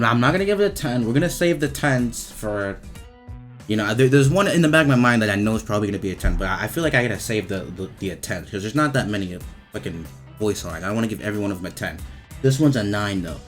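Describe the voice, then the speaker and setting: A man giving casual, off-the-cuff commentary as he reviews game voice lines, talking in a halting, repetitive, slangy way with some swearing. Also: fairly low pitch, lively intonation, soft background music.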